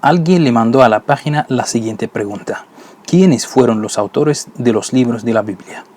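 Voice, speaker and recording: A man who is not a native Spanish speaker, hosting and narrating a scripted religious lesson in a measured, didactic way. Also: medium pitch, darkish tone. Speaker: host.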